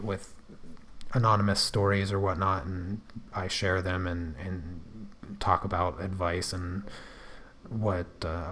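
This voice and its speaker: A man with a naturally soft, low voice talks casually to viewers while he draws. He hesitates often, repeating words and trailing off before picking the thought back up. Faint music plays quietly underneath. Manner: hesitant, many restarts and fillers. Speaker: artist livestreaming while drawing. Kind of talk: casual livestream chat answering viewers.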